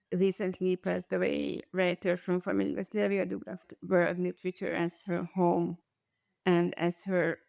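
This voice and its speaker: A woman moderates an academic discussion in a mid-pitched voice with a gravelly creak. She builds her points deliberately, in halting phrases. The sound is dry and free of room echo. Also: a little mellow. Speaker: panel moderator.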